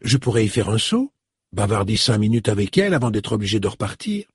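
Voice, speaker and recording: A man's voice: on the low side, very animated, slightly rough, no room sound, clean studio sound, exaggerated voice. A man voicing a polite, composed middle-aged woman in an audiobook, in a slightly raised voice. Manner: courteous, measured.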